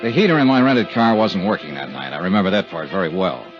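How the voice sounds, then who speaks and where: Low-voiced hard-boiled radio detective. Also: male voice, expressive, fast talker. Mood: grim narration. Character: tough, weary male insurance investigator, narrating his own case. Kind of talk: 1950s radio detective drama acting. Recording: dull 1950s radio recording with music.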